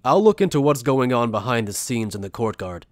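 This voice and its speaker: A man's voice: medium pitch, sing-song, brisk, darkish tone, dry recording, close to an everyday voice. A man acting as a principled anime soldier-journalist speaks in a mid-range voice. He is earnest and insistent, pressing his points sincerely. The sound is dry and close. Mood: resolute. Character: earnest male Soul Reaper lieutenant and principled journalist. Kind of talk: anime fan dub acting.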